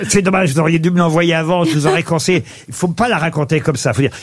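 A man's voice: a little high, fairly even intonation. A man hosting a radio show, bantering and teasing callers. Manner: teasing, quick to joke. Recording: studio audience laughter and noise. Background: live studio audience.

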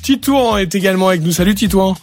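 A man hosting a lively live radio football game show from a studio. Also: high voice, quick pace, soft background music. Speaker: radio host.

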